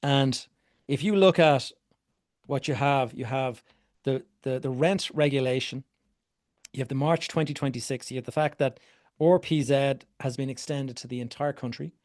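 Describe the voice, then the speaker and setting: A man's voice: medium pitch, moderate pace, darkish tone. A middle-aged man from Ireland giving a workshop talk to a live group, conversational, often asking a question and then answering it himself. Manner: conversational, repeats words, asks questions and answers them. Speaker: property investment coach.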